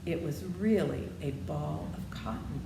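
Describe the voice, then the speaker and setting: Low-pitched woman reading aloud, echoey room. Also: a little slow, gravelly, warm tone. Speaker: storybook reader. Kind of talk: read-aloud children's story narration with asides. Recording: echoey room with faint noise.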